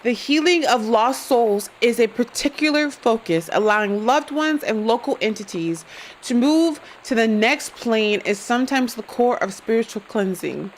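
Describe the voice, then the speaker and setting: Expressive woman streamer. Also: on the high side, normal speed, a little hiss or hum, rough recording. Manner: expressive, swears, reacts loudly. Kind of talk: live gameplay commentary.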